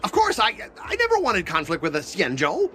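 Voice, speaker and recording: An actor playing a pompous, blustering young corporate manager in a video game. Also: male voice, high-pitched, wildly swooping pitch, a little fast, pushed and bright, slight background noise, exaggerated voice. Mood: defensive. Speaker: company manager.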